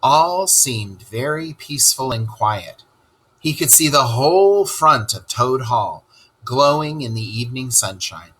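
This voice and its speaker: A man reading a story aloud to children in an expressive narrating style. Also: medium pitch, measured pace, breathy edge, poor audio.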